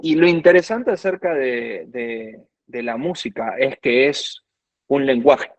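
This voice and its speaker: A man's voice: medium pitch, measured pace, no room sound. A man from Argentina with an Argentine accent, a guest worship minister teaching earnestly in conversation and quoting scripture at length. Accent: Argentine Spanish.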